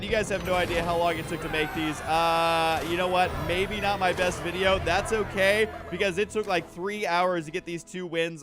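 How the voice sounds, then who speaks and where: A man's voice: fairly high pitch, a bit bright. A man hosting a gaming video with casual, rambling commentary, thinking aloud in a self-deprecating way. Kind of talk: casual game commentary over a card game.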